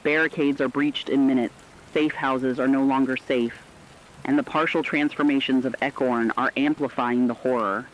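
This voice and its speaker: A man acts as a serious male reporter in a horror radio drama, with a medium-pitched voice. He gives measured, urgent scripted bulletins. The sound is somewhat dull and lo-fi, with rain-like noise behind him. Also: steady tone, lightly acted. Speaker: radio emergency broadcast reporter.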